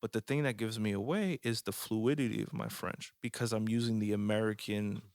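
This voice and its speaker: Gravelly low-voiced Jersey man. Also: fairly quick, no room sound, studio quality. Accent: New Jersey. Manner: animated, chatty, talks with lots of fillers. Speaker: podcast co-host. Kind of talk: casual chat.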